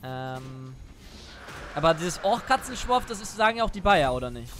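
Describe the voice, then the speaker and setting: Young male streamer, high pitch, chatty questions over game calls, noisy headset stream. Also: very animated, moderate pace, a bit bright. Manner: chatty, asks questions while calling plays. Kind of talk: casual gaming chat. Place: home room at a desk.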